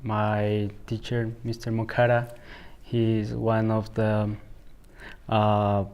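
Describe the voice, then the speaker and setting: A teenage boy from El Salvador with a Salvadoran Spanish accent, a high school student answering interview questions haltingly, filling his speech with "like" and "you know". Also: completely flat delivery, a little mellow, clean tone. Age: teens. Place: school, indoors.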